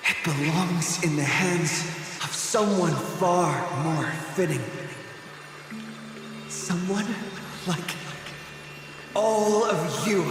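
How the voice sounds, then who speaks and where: A man playing a solemn, humble godlike hero in a video game, speaking with grave, halting nobility. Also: a little high. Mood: resolute. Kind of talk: scripted video game acting. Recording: strong echoing hall, music behind.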